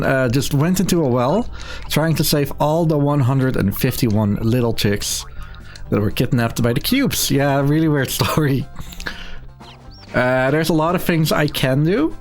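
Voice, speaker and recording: A man's voice: on the high side, normal speed, faint music behind. A man giving casual live commentary as a speedrunner.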